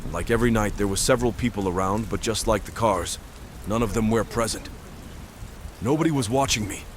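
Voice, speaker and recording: A man playing a brooding stalker who narrates an audio drama in deliberate, detached, literary phrases. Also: on the low side, slight background noise, natural voice. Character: brooding male stalker narrating his nightly watch. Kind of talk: scripted first-person narration, audio drama.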